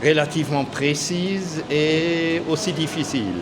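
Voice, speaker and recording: A man's voice: a little high, steady tone, measured pace. An older man with a Swiss German accent answers a street interview hesitantly, searching for words in a language that is not his first. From Switzerland. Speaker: passer-by being interviewed. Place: outdoors on a city street. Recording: noisy outdoor street with traffic.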